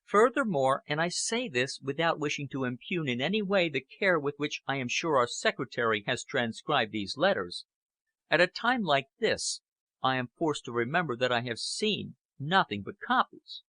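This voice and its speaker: Man playing earnest gentleman with a bright voice. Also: medium pitch, sing-song, normal speed, no room sound. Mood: earnest, cautious. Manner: earnest, formal. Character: earnest, measured aristocratic gentleman, cautious and reasonable. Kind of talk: audiobook character acting.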